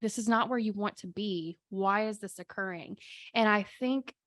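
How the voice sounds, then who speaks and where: A woman with a slightly raspy voice hosting a casual solo podcast from her home office, telling chatty stories with quick asides. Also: medium pitch, fairly bright tone, no room sound, clean studio sound. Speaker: podcast host and spiritual coach.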